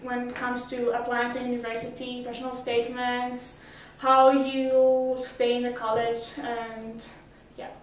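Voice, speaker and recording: High-voiced teenage girl, echoey room. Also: completely flat delivery, measured pace, few pauses, slight background noise. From Greece. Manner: hesitant, many fillers and restarts. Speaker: summer school student. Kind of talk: casual interview answers. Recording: roomy echo, mic at a distance.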